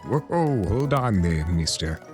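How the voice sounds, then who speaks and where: A woman's voice: rumbling low, big pitch swings, takes it slowly, over music, pitched below the performer's own voice, full character voice. A woman voicing a sardonic, suave male trickster creature with a drawling, theatrical delivery in a fan fiction reading. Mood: startled, indignant.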